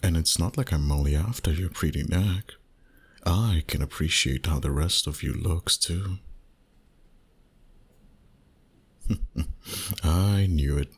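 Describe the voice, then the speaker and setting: A man voicing a flirty, dominant vampire boss in an intimate, teasing ASMR roleplay, soft and breathy. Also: sits low, full character voice. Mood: playful teasing. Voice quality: breathy, husky, often near a whisper. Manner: slow, soft, teasing seduction.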